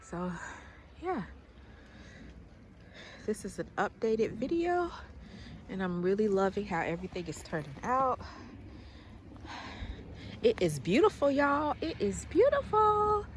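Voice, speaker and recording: A woman giving a casual walking tour of her land. She has a cold, clears her throat now and then, and rambles as she goes. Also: medium pitch, very animated, slow, pauses often, a little hiss or hum. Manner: chatty, loose and rambling, repeats words. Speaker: farm owner vlogger. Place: outdoors on rural land in the rain.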